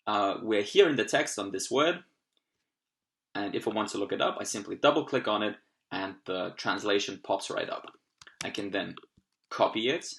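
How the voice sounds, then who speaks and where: A young man from Austria, a German native speaker with an Austrian German accent, gives an upbeat screen-recorded tutorial on language-learning software. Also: mid-range voice. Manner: upbeat, explanatory, occasional fillers.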